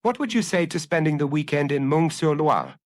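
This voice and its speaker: A man plays a calm middle-aged police chief in an audiobook detective story. He questions deliberately and briefly, with lively rises and falls in pitch and a dry sound. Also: no room sound, lightly acted. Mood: matter-of-fact. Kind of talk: acted audiobook dialogue.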